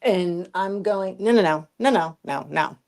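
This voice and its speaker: A woman's voice: on the low side, expressive, a little slow, creaky, a little mellow. A woman co-hosting a casual podcast, blunt and cussing, often laughing at herself. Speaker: podcast co-host. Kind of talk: casual opinionated chat.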